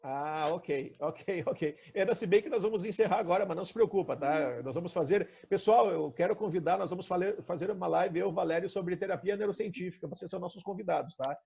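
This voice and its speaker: A Brazilian man leads a live coaching session in a conversational, probing way. His voice is fairly high for a man, with a creaky, gravelly grain. The audio is dull and lo-fi, like an online call. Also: a little fast, few pauses, a little mellow. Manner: explanatory, asking probing questions.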